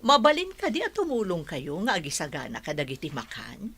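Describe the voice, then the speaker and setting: A woman's voice: fairly low pitch, wildly swooping pitch, fairly quick, a bit bright, breathy edge, full character voice. A woman with a Filipino accent playing a polite, generous schoolteacher in a radio drama. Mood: gracious. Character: polite, generous schoolteacher employing village caretakers.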